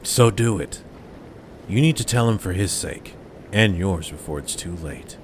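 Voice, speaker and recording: A very low-pitched old man played by a male actor, a wise and defiant Jedi mentor who counsels bluntly. It is audio drama acting, with a faint noisy rumble behind the voice. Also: lively intonation, unhurried. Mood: blunt, urging.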